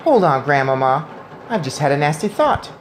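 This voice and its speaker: A woman voicing a clever, eager boy who is a talking mouse, in an excited, emphatic storybook reading. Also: deep voice, normal speed, a little hiss or hum. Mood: worried. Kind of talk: storybook read-aloud.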